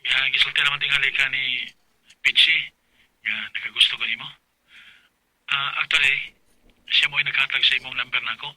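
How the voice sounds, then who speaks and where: Kiefer, very bright-voiced suitor. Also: male voice, medium pitch, fairly even intonation, normal speed, pauses often, no echo, rough recording. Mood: hopeful, courting. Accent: Cebuano. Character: Kiefer, forward young male student suitor. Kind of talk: radio drama acting.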